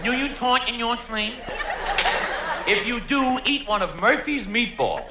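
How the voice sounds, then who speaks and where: A man playing a mock-earnest radio pitchman, putting insistent questions in a parody commercial. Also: expressive, a little slow. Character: mock radio pitchman selling absurd remedies and products. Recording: noisy, echoey old live comedy recording.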